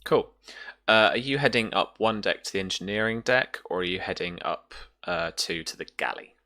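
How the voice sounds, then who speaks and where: A woman's voice: extremely low pitch, moderate pace, bright, forceful tone. A woman narrating an online tabletop roleplaying game as game master, informal and hesitant as she thinks aloud.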